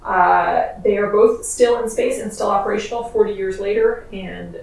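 Quiet woman, very echoey and distant. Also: medium pitch, moderate pace, creaky. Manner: quiet, soft-spoken. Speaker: podcast co-host. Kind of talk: casual chat and recap. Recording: very echoey, distant from mic, faint noise.

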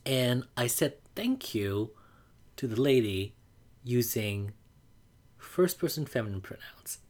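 A young man in his twenties, raised in Burma, speaks English. He tells a personal story in a reflective, unhurried way, pausing on "like" as he gathers his thoughts, and his pitch sits in an ordinary middle range. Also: animated, darkish tone. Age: 20s. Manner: reflective, unhurried, frequent "like" fillers. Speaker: interviewee telling their coming-out story.

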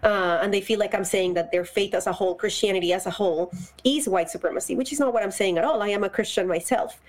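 A woman in her thirties speaks as a podcast guest. Her voice sits at a middle pitch, and her delivery is measured and earnest with frequent pauses. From Colombia. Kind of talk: casual interview conversation.

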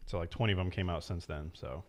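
A man's voice: sits low, steady tone, fast talker. A man co-hosting a podcast, making dry offhand remarks from off-mic. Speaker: podcast co-host. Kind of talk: casual podcast chat.

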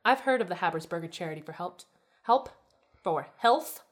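A woman's voice: lowish voice, lively intonation, moderate pace. An indignant young woman acted by a female reader from a cartoon mystery tale. She grumbles and snaps with sarcasm, her intonation moving about freely. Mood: grumbling. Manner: sarcastic, complaining.

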